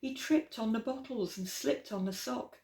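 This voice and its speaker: A woman reading a rhyming children's picture book aloud as a storytime host, with expressive, dramatic emphasis. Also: on the high side, normal speed. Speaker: library storytime reader. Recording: roomy, echoey indoor sound.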